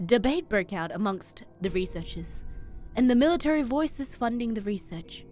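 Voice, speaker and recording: A woman streamer reading a horror story aloud in expressive, dramatic narration with emphatic pauses. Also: a little high. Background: background music.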